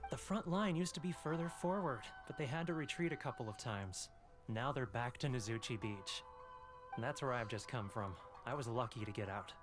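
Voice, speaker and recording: A man's voice: mid-range voice, moderate pace, natural voice. Rattled refugee man played by an actor, music behind. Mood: frustrated, anxious. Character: weary, rattled male war refugee. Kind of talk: video game dialogue.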